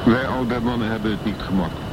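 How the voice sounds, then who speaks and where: An actor playing a worldly old private detective in a radio drama, deliberate, laconic and sardonic. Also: male voice, on the low side, close to an everyday voice. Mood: wry, knowing. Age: older. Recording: clear background noise.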